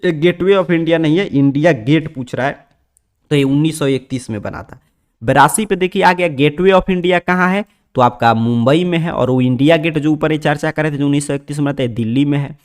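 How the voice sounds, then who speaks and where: A man's voice: fairly high pitch, fairly quick. A man with a Bihari accent teaching exam prep, reading out questions and explaining each answer in a formulaic, instructional way.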